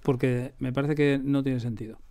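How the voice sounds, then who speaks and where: Guy from spain accent, normal pitch, opinionated interview guest, chatty and emphatic. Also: steady tone, normal speed, warm tone. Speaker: audio drama director, interview guest. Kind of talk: casual interview talk. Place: radio drama studio.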